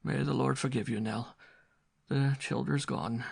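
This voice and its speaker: A man's voice: medium pitch, moderate pace, vocal fry, a bit bright, slightly rough, voice pushed up. A man reading aloud voices a frantic older Irish peasant widow, wailing and pleading in an Irish accent.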